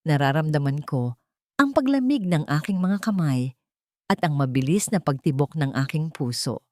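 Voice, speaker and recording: Woman narrating romance drama, slightly low voice. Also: lively intonation, normal speed, warm tone, no echo, clean studio sound. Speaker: story narrator.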